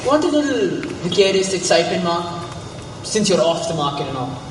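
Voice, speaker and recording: A man's voice: high-pitched, very animated, fairly quick, noisy background. An actor playing a cocky, flirtatious academic man with a teasing, insistent manner in a stage play. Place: stage in a reverberant hall. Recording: echoey hall, distant mic, background music.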